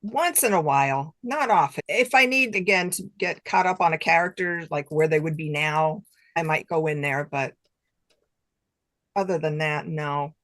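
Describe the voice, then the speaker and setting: Low-pitched, self-deprecating woman chatting. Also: normal speed, a bit bright, no room sound. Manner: self-deprecating, chuckles between remarks. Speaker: guest author. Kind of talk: casual interview chat.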